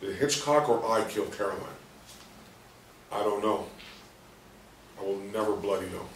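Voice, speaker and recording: A man's voice: on the low side, measured pace, natural voice. An actor in a staged play reading playing a guilt-ridden, bitter husband who hides that he can talk, recorded in a roomy space. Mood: troubled. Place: roomy indoor space, far from mic. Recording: roomy, distant mic with faint noise.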